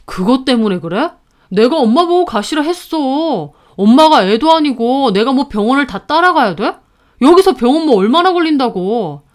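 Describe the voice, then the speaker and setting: A woman's voice: mid-range voice, animated, slow. A woman voicing a brusque, defensive older brother with a blunt manner in a dramatized story. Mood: irritated, dismissive. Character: brusque, dismissive older brother, grown man.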